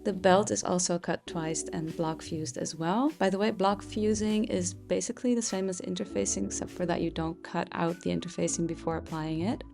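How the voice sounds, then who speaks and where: A woman whose first language is Dutch, a pattern designer calmly explaining a sewing project step by step with occasional fillers. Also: on the low side, normal speed, gravelly, few pauses, music in the background. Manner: calm, explanatory, with frequent "uh" fillers. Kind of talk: sewing tutorial.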